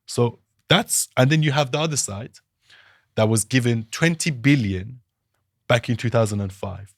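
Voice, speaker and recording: A man's voice: medium pitch, moderate pace, no echo, clean studio sound. A man from Iran, a political analyst guest, arguing his views emphatically with rhetorical questions in a podcast interview.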